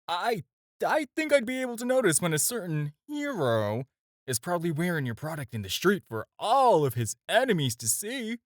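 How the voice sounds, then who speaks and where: A man acting in an audio roleplay as a smooth-talking, flirtatious villain who teases and coaxes the listener with playful pauses. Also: fairly high pitch, dry recording, studio quality. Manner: coaxing, playful, hesitant pauses and drawn-out teasing. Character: smooth-talking, flirtatious adult male supervillain, teasing and persuasive.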